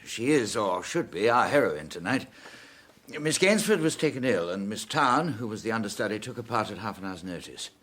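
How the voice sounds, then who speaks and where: An actor playing an authoritative, strained head of a theatre company in a radio drama, with a husky voice. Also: male voice, lowish voice, animated, normal speed, a bit bright, slight background noise. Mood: formal.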